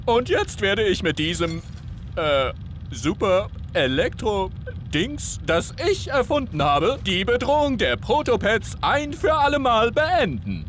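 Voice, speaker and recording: Vain male superhero, game cutscene, pompous and theatrical, high-pitched, slow showman's pacing, music behind. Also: sing-song, fairly bright tone, slight background noise, heavily acted. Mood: grandiose. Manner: bombastic, theatrical announcer delivery. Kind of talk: animated game cutscene acting.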